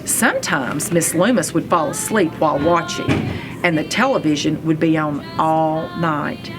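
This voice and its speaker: A woman reading a children's chapter book aloud, telling a first-person story with expressive, deliberate emphasis. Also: low-pitched. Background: music.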